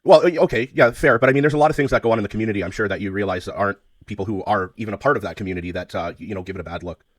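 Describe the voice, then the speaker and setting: A man making a phone call, speaking in a halting, hesitant way, polite but persistent. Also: on the low side, dry recording. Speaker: caller, self-described media interviewer. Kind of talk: phone conversation.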